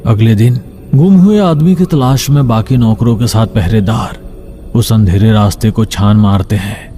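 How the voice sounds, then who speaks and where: A man's voice: lowish voice, normal speed, dark tone. A man narrating a scripted horror story.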